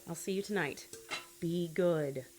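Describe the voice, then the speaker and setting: A woman's voice: unhurried, a little mellow, faint music behind, close to an everyday voice. An actress playing a sensible, no-nonsense wife and mother in an audio drama, firm and reasoning. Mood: weary, chiding. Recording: faint noise with low rumble.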